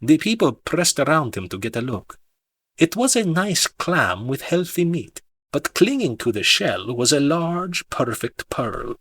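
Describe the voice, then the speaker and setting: A man narrating an audiobook in a measured, dramatic storytelling manner. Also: fairly high pitch, sing-song, a bit bright, breathy edge, dry recording. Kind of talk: expressive scripted audiobook narration.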